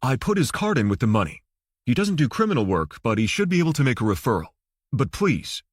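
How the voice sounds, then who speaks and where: Man playing a guarded banker, husky voice. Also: medium pitch, sing-song, a little fast, no room sound, studio quality. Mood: courteous, discreet. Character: guarded, formal male banker, politely firm.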